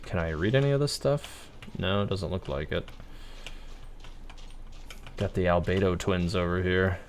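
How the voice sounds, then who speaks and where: A man's voice: low-pitched, unhurried, a little hiss or hum. A man giving casual livestream commentary as he plays a game, musing aloud.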